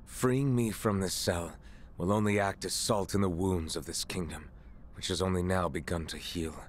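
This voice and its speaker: An actor playing a remorseful imprisoned nobleman warrior in a video game, speaking in formal, measured, resolute phrases. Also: low voice, slight background noise, natural voice. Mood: remorseful, solemn. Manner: formal, archaic, measured speech. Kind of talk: video game cutscene acting.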